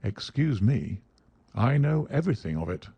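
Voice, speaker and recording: A man voicing a calm, shrewd detective who speaks in a bland, measured, assured way, in a storybook reading. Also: medium pitch, gravelly, slightly rough, dry recording, dull audio, exaggerated voice.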